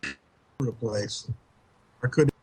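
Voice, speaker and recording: A man's voice: mid-range voice, sing-song, a little slow, darkish tone. A man speaks over a call line with a faint hiss behind him. He sounds flustered and keeps breaking off mid-sentence. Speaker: caller. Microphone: phone call through a feedback loop.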